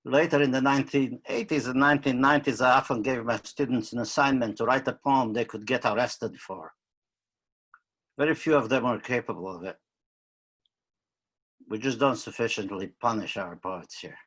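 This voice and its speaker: An older man from Romania giving wry, deadpan opening remarks with many hesitations, on a webcam video call from a book-lined study at home. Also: mid-range voice, normal speed. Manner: wry, deadpan humor with frequent uh fillers. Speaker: curator and poet. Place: home study with bookshelves, indoors.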